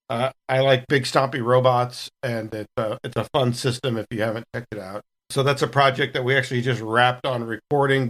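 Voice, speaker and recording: A man chatting casually on a podcast about his projects. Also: medium pitch. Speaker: podcast cast member and producer.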